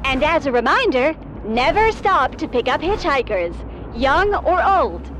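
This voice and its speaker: An actress playing a bubbly, showy female TV show host in a video game, with brisk presenter patter. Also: sits high, sing-song, fairly bright tone, slight background noise, heavily acted. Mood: showy, upbeat.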